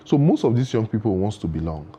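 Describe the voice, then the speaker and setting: A man's voice: on the low side, big pitch swings, quick pace, mellow, slightly rough. A man speaking as a guest in a studio interview, giving earnest advice full of proverbs, with frequent restarts. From Nigeria.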